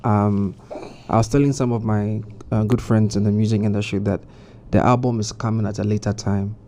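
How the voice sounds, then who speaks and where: A man with a dark, mellow voice talks through his ideas on a music panel, restarting his sentences and leaning on "you know". He is in a studio, with a faint hiss of noise behind him. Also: fairly low pitch, steady tone, fairly quick. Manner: thoughtful, builds points with restarts and "you know". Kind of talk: casual studio panel discussion.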